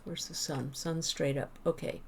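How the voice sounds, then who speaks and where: A woman streaming a game, chatting casually with viewers and rambling as she thinks out loud. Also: sits low, fairly even intonation, moderate pace, creaky, a little hiss or hum. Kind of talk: casual gameplay chat with viewers.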